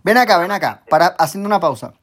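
A man hosting a casual interview podcast, excitable and hyping up his guest. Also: sits high, fast talker. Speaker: podcast host and coach.

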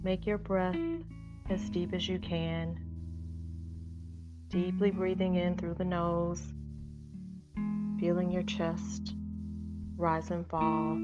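A woman leading a guided meditation in a soothing, measured way with long pauses. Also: on the low side, completely flat delivery, dull audio. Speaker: meditation guide, holistic practitioner. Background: loud plucked guitar music.

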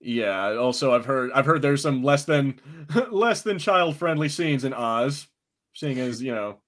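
A man co-hosts a podcast in a middling-pitched voice. He talks in a casual, informal review style and swears freely. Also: lively intonation, a little slow, a bit bright. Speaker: podcast co-host.

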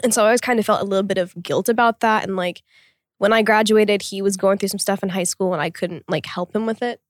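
A woman's voice: mid-range voice, dry recording, studio quality. A woman in her twenties co-hosting a podcast, chatting casually and teasing her co-host playfully. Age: 20s. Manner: playful, quick to laugh, lively teasing. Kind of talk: casual chat.

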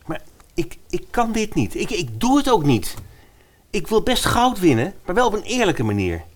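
A man's voice: high voice, huge pitch swings, normal speed, heavily acted. A man playing an earnest young trainer in a fairy-tale radio play, emotive, torn and protective. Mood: agitated.

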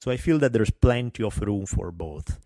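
Podcast host, middle-aged man, thick Italian accent, fairly low voice, wry and self-deprecating. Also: expressive, normal speed, dark tone, no echo, clean studio sound. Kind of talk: solo podcast monologue.

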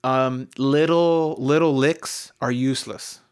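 A man with a mid-pitched voice talks casually as a co-host trading opinions. He is blunt and sweary, with a joking, opinionated way of putting things. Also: steady tone, slow pace, clean tone. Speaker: co-host of a talk show.

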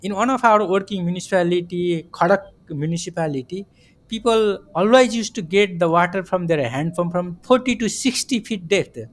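A man, a project coordinator speaking in a measured, methodical way on a formal panel. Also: sits high. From Nepal.

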